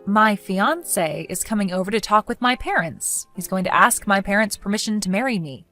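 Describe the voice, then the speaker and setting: A woman playing a level-headed, quietly fed-up young woman with a dry, matter-of-fact manner in a dramatized story. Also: medium pitch, lively intonation, normal speed, faint music behind. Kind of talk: dramatized text-message story voice acting.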